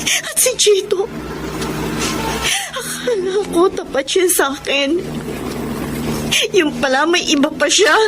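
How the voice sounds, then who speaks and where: An actress playing a loving young adult daughter in a Filipino radio drama, open-hearted and easily wounded. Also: female voice, extremely high pitch, animated, slow, long pauses, full character voice. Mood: heartbroken, tearful. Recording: noisy radio drama track.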